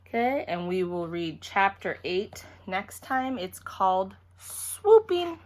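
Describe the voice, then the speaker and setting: A woman reading a children's story aloud in an animated, expressive way, acting out the characters. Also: mid-range voice, slow, a little hiss or hum. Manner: animated, acts out each character.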